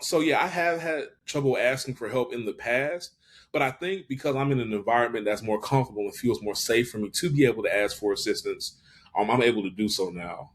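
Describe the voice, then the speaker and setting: Man in his twenties, podcast guest, mid-range voice, thoughtful and halting, plenty of restarts; works as a candid interviewee. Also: medium pitch. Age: 20s. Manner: hesitant, thoughtful, frequent restarts and fillers. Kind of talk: casual reflective interview talk.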